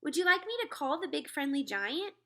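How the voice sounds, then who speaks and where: Woman as a polite, high-voiced little girl. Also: fairly quick, full character voice. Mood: calm, earnest. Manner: calm, courteous, reassuring. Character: polite, earnest little girl, calm and friendly. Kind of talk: storybook read-aloud.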